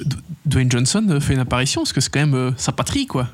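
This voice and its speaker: A man's voice: mid-range voice, sing-song, fast. A man who works as a film journalist, co-hosting a casual film chat, talking with wry asides and thinking aloud. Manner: chatty, many fillers and restarts, wry asides. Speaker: film podcast co-host, film journalist. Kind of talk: casual chat about upcoming films.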